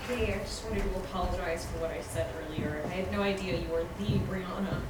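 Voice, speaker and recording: A woman's voice: lowish voice, brisk, close to an everyday voice. A woman playing a friendly, chatty young cafe worker in a screenplay table read. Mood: apologetic, friendly. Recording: echoey room, distant mic, noisy.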